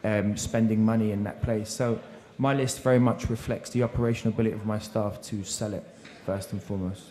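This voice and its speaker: A man's voice: on the low side, steady tone, brisk, dark tone. Earnest man talking shop on a panel. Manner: earnest, practical, a few restarts. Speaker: restaurant operator on a panel. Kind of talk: panel discussion. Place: seminar room.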